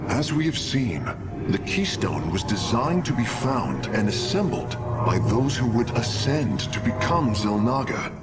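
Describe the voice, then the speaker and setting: Earnest alien scientist, animated intonation. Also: male voice, mid-range voice, a little slow. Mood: earnest, hopeful. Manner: formal, expository, earnest. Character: earnest, hopeful alien scientist-engineer, eager and scholarly. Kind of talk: dramatic sci-fi game acting. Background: loud music.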